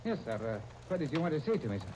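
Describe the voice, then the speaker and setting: Scottish orderly: chatty, respectful, very lively lilt in a raised register, vintage radio serial. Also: male voice, fairly high pitch, very fast. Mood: deferential, hopeful. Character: talkative, eager, deferential Scottish private, captain's orderly. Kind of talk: 1930s radio serial acting. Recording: noisy 1939 radio transcription disc.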